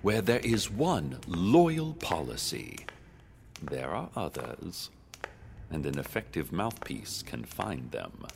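A man playing an imperious, elderly, gravel-voiced chairman of a corporate board in an audio drama, slow and commanding. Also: deep voice, very animated, long reverb tail, background noise, exaggerated voice. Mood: patronizing, coaxing.

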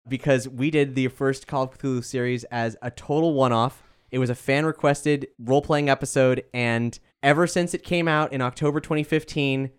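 A man's voice: medium pitch, clean tone. A man hosting a podcast, chatting casually to introduce a group. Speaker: podcast host. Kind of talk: casual hosted group chat.

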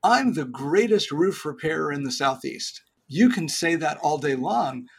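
A middle-aged man, a podcast guest, giving business advice in a lively, joking, explanatory way. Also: a little high, unhurried, creaky, breathy edge. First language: English. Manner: animated, jokey explainer.

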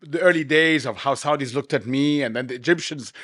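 A man's voice: mid-range voice, a little fast, a bit bright. A middle-aged man hosting a podcast interview, conversational, often affirming and finishing his guest's sentences. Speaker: podcast host.

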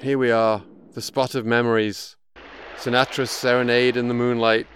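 Casting: male actor as elderly grieving widower for audio drama, reflective and weary, medium pitch, faint background noise. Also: flat delivery, moderate pace, fairly bright tone, lightly acted. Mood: wistful, weary. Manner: reflective, wistful, poetic. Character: grieving elderly widower looking back on his life, weary. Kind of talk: audio drama acting with monologue.

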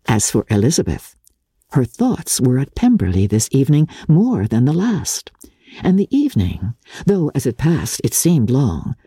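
A woman narrator giving a dramatic, measured reading of classic literature in a formal, expressive storytelling manner, with a husky voice. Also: low voice, no echo, studio quality. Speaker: audiobook narrator.

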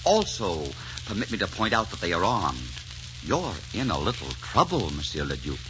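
Suave, swooping French rogue in old radio. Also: male voice, low voice, big pitch swings, moderate pace, noisy background. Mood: smoothly menacing. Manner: gallant, theatrical, teasing. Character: suave, daring French barber posing as a duke. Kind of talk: 1940s radio drama acting. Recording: dull 1940s radio recording with music.

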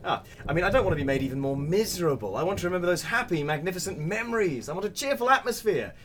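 An actor playing an affable, chatty newcomer funeral director in a comic audio drama, politely hesitant and cheerfully tactless. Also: male voice, sits high, animated, quick pace, noisy background. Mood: politely critical.

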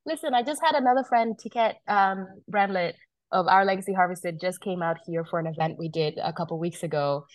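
A woman's voice: fairly low pitch, a little fast, no echo. A woman originally from South Sudan, a guest telling stories in casual conversation, chatty with many fillers and restarts. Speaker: wine business founder, guest.

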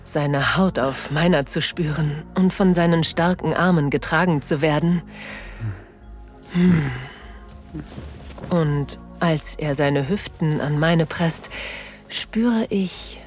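A sensual, playful wife tells her story in an intimate audio drama , performed by a woman. Her voice is on the low side and very unhurried, breathy and often sinking toward a whisper. Soft music plays behind her. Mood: tender arousal. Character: excited married woman, enamoured, sensual and playful. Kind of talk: intimate first-person German audio drama narration.

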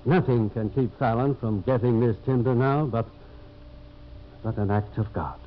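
A muffled 1950s radio recording. A man acts as a sober frontier judge, dry and formal, with a medium-pitched voice that puts the law first. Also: a little slow, very noisy, natural voice. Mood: resigned. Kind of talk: 1950s radio drama acting.

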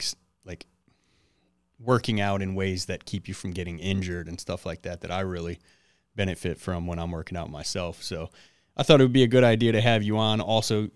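A man co-hosting a podcast in casual chat, relaxed and rambling with many "like" and "um" fillers. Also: low-pitched, clear, resonant tone, dry recording. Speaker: podcast co-host.

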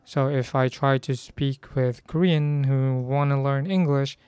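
A hoarse young man from the Philippines, whose first language is Filipino, chatting casually about learning Korean and stopping to restart his sentences. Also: medium pitch, steady tone, unhurried, a little mellow, no room sound. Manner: chatty, self-correcting, reads phrases aloud.

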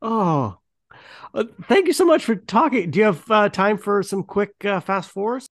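A man's voice: sits high, expressive, unhurried, no echo, clean studio sound. A man hosting a podcast interview in a casual, enthusiastic and appreciative manner.

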